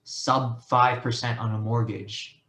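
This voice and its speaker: Male co-presenter, mid-pitched, casual explainer, recorded in a roomy, echoey space. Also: little pitch movement, slow pace, pushed and bright. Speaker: workshop co-presenter.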